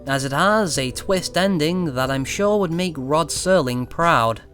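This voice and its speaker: A man hosting a video review, reading a scripted narration in a measured way with trailing asides. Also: on the high side, lively intonation, a bit bright. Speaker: video game reviewer, channel host. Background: music.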